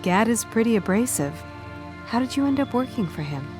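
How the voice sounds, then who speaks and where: A woman's voice: fairly high pitch, sing-song, fairly quick, over music. A woman playing a cool, shrewd adventurer in a fantasy video game, with a wry, needling manner. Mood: wry, skeptical. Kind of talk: video game cutscene acting.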